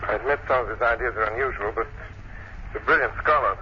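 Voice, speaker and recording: An actor playing a calm, cultured, wealthy male sleuth in an old radio mystery. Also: mid-range voice, fast, close to an everyday voice. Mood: relaxed, musing. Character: urbane, thoughtful wealthy gentleman sleuth. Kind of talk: 1940s radio drama acting. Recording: muffled, noisy old-time radio recording.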